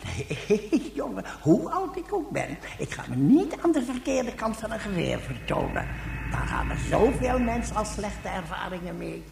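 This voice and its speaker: Creaky, grumbling old hermit guide. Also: female voice, mid-range voice, huge pitch swings, moderate pace, a little mellow, exaggerated voice. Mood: wary, grumbling. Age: older. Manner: querulous, rambling, self-pitying. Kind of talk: radio drama acting. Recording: echoey, noisy radio play recording.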